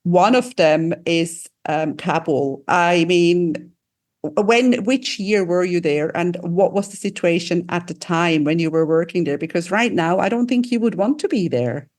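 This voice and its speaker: A woman with a fairly low voice hosts an interview. She speaks conversationally and inquisitively, often restarting her phrases as she shapes a question. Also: unhurried, darkish tone. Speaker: interview host.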